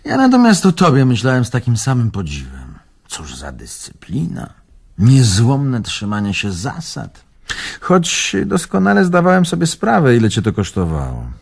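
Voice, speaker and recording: Radio drama: wounded, evasive old man-about-town, musing and theatrical, husky, very expressive intonation. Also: mid-range voice, normal speed, exaggerated voice. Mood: admiring, scornful. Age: older. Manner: theatrical, musing, swinging between murmur and outburst. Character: bitter old bon vivant, wounded, evasive former impresario.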